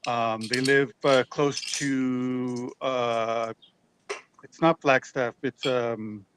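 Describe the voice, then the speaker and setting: A man's voice: mid-range voice, fairly even intonation, extremely slow, background noise. A man hosting a casual podcast, chatting in an easygoing, slangy way. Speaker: podcast host.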